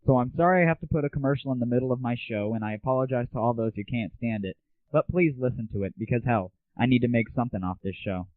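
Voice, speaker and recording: A man's voice: mid-range voice, quick pace, dry recording. A man hosting a comedy audio show in a casual, crude, sarcastic rant. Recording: dry, muffled narrow-band audio.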